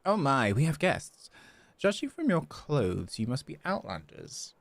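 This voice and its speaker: Guy doing a fancy dramatic shopkeeper from a fantasy game, swoops around, drags words out, creaky edge. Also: fairly high pitch, big pitch swings, voice pushed up, full character voice. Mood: haughty, teasing. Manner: ornate, drawn-out, theatrical. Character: florid, theatrical shopkeeper, grandly courteous and teasing.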